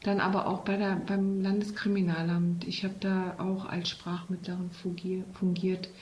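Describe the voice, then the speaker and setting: A middle-aged woman whose first language is Turkish, a police officer, speaking hesitantly with pauses and fillers in an interview. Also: lowish voice, flat delivery, a little mellow, dull audio, slight background noise. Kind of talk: casual interview talk. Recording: echoey room.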